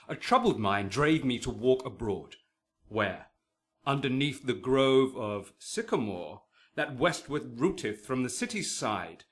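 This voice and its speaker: An actor playing an earnest, friendly young noble in a Shakespearean radio drama. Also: male voice, mid-range voice, close to an everyday voice. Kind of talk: classical Shakespearean radio acting.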